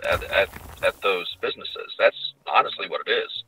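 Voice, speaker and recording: A man on a phone call from his car, chatting casually, pausing often with "uh" between phrases. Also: sits low, lively intonation, sharp tone, slight background noise. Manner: hesitant, many "uh" pauses. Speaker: guest on a call.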